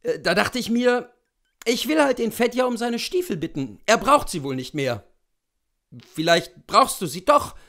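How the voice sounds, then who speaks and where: A young coachman acted by a man in a storybook reading. He is eager yet awkward, with a raised, high voice and an earnest, coaxing way of asking. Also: very animated, moderate pace, bright, forceful tone, heavily acted. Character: young coachman lad, eager, embarrassed, pleading.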